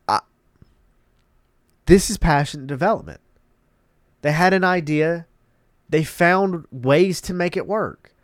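A man's voice: fairly high pitch, slow, long pauses, no room sound, studio quality. A man hosting a casual gaming commentary, congested and emphatic, repeating words for emphasis. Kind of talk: casual commentary reading articles.

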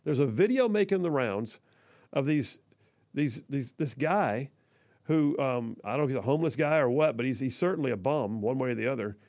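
Man, talk radio host, gravelly, dry close studio sound, folksy, chatty. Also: mid-range voice, animated, brisk, darkish tone, dry recording. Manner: folksy and chatty, frequent fillers and restarts. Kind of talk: talk radio, reading listener messages and ad reads. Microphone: studio microphone.

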